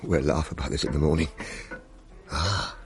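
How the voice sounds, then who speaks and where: An actor playing a polite, flustered Victorian gentleman with a British accent and a courteous, stammering manner in a comic radio drama. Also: extremely low pitch, moderate pace. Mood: bemused.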